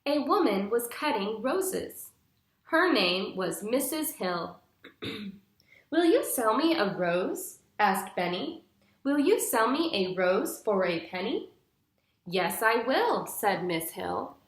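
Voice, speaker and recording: Slow, animated young woman reading to children. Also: medium pitch. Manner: deliberate, instructive, clearly phrased storytelling. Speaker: teacher reading aloud to pupils. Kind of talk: picture-book read-aloud for young children. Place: small living room, indoors. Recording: roomy indoor echo.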